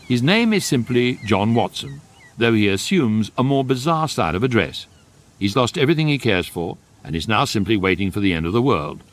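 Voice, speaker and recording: An actor playing the wry, urbane, measured narrator of a guidebook in a radio comedy, with a British accent. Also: male voice, mid-range voice, natural voice.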